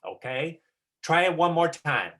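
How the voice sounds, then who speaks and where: Slow, expressive teacher leading a rehearsal. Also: mid-range voice. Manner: deliberate, emphatic, speaks in short phrases. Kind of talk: instructional talk on a video call.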